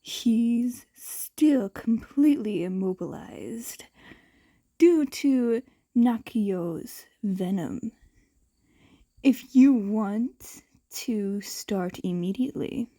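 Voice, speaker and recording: A villain's clinical assistant in a storybook tale, read by a woman. The report comes out detached and matter-of-fact, slow and mellow. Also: a little high, no room sound.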